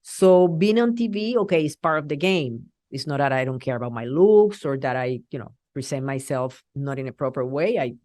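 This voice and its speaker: A woman from Rico speaks English, in a low, dry voice. She is a middle-aged TV journalist, lively and halting as she restarts her sentences in a casual interview. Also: dry recording. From Puerto Rico. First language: Spanish. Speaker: TV journalist guest.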